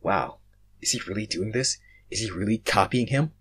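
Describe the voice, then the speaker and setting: An easygoing, joking young lord of a fantasy tale, read by a man, with a lowish, husky voice. He sounds casual and lightly amused. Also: moderate pace, fairly bright tone. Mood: amused disbelief. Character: friendly, easygoing, joking male fantasy royal.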